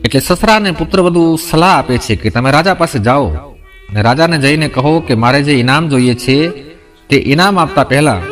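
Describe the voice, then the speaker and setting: A man's voice: on the high side, a bit bright. A man narrating a scripted moral folk tale as a storyteller.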